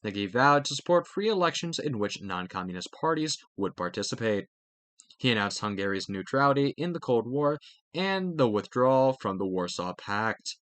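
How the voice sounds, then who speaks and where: Male reader for educational read-aloud, mid-range voice, highly expressive intonation, amateur delivery with occasional stumbles. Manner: halting, stumbles over words. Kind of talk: reading a textbook aloud with brief asides.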